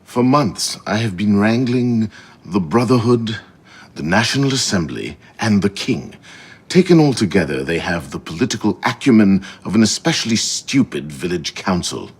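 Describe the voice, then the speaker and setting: A world-weary older mentor in a game cutscene. His low, husky voice moves expressively through dry, sardonic, oratorical lines. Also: lively intonation, normal speed. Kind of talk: video game cutscene acting.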